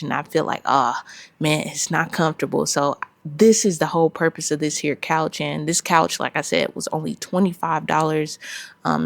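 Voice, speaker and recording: A young woman in her twenties, getting over a cold, giving a casual vlog tour of her storage unit in a relaxed way, with many "like"s. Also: deep voice, vocal fry, no echo. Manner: relaxed, filler-heavy, with many "like"s. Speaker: clothing brand owner. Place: small metal storage unit, indoors.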